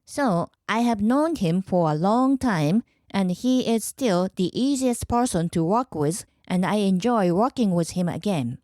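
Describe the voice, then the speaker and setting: A woman from Japan hosting a podcast, calmly explaining in a measured, clearly enunciated way. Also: mid-range voice, no room sound, clean studio sound. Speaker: podcast host. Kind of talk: scripted explanatory podcast monologue.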